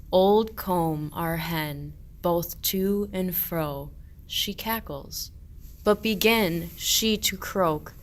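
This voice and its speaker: A weary, henpecked shepherd played by a woman grumbles through old verse in a lowish voice. The delivery is slow and declamatory, in the manner of an amateur radio play. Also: fairly bright tone.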